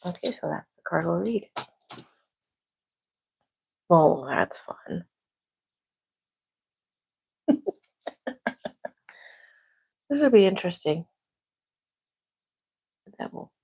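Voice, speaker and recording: A soft-spoken woman reading tarot on a casual livestream at home, talking quietly to herself. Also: lowish voice, big pitch swings, takes it slowly, vocal fry, long pauses, husky, no room sound, rough recording. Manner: talks to herself, quiet and musing. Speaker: tarot reader. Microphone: clip-on microphone.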